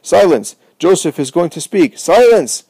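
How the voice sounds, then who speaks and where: A man voicing a rowdy, indignant crowd of poor townsmen hurling shouted insults and cries. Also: high voice, big pitch swings, takes it slowly, vocal fry, no room sound, voice pushed up, exaggerated voice. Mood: jubilant.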